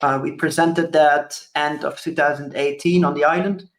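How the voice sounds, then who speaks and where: A man's voice: on the high side, steady tone, moderate pace. A man in his twenties from Curaçao giving a conference talk, thoughtful, with frequent ums and hedging fillers. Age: 20s. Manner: thoughtful, many ums and kind-ofs. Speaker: conference speaker. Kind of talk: presentation talk. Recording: roomy echo.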